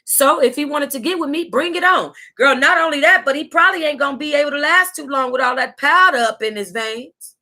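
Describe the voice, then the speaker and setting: American lady hosting a book club, reads it out real dramatic and sassy, sharp bright voice kinda high. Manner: sassy, exclamatory, drawn-out emphasis. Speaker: host of an online book club reading. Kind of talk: dramatic read-aloud of a memoir with sassy asides.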